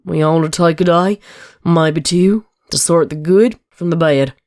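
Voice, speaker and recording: A woman's voice: low voice, a little slow, a little mellow, no room sound. Woman playing a Southern farm mare, very dry close sound. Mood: serious, practical. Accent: American Southern. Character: earnest, burdened adult farm mare, elder sister. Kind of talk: dramatic fan fiction reading.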